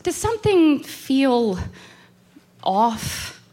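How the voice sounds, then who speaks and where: A woman's voice: sits high, sing-song, extremely slow, warm tone, exaggerated voice. An actress playing an anxious, uneasy young woman in a horror audio drama, speaking in a hesitant, wistful way. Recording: music behind the voice.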